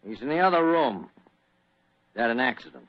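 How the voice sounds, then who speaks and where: A male actor plays a surly, confrontational big man in a radio mystery. His voice swings widely in pitch and sounds close and dry. The old recording is muffled. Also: big pitch swings, a little fast, no room sound, close to an everyday voice. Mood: suspicious. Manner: gruff, confrontational. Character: surly, defiant society member, big man. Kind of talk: old-time radio drama acting.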